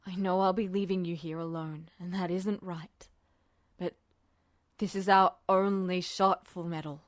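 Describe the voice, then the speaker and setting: Audiobook role: woman playing a grave male officer, low, hushed, pleading, remorseful but resolute, recorded dry. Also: no room sound, natural voice.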